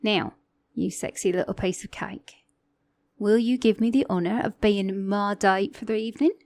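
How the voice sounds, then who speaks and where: A woman's voice: medium pitch, fairly even intonation, normal speed, no room sound, close to an everyday voice. A woman with a mixed Australian and British accent playing a flirty, sassy, teasing car mechanic in an ASMR romance roleplay. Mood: flirty, tender. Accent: Australian-British mix. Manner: flirty, teasing, playful, with soft chuckles.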